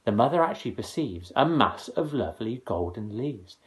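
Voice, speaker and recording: A man's voice: mid-range voice, rough recording. A man reading comic verse aloud to children as a dramatic, theatrical storyteller. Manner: dramatic, expressive, deliberate rhymed delivery.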